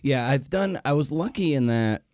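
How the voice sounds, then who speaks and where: A man's voice: mid-range voice, animated, moderate pace, a little mellow, no echo. A man hosting a casual conversational interview, thinking aloud in a rambling way and repeating words for emphasis. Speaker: podcast host.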